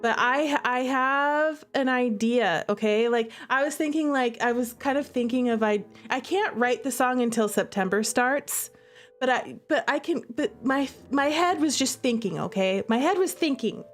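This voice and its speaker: A woman with a slightly high voice hosts a casual game livestream. She is chatty and playful, talking straight to her viewers. Music plays behind her voice. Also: moderate pace. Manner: playful, animated, chatty, addressing viewers directly. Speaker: streamer and visual novel creator hosting a playthrough. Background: music playing behind the voice.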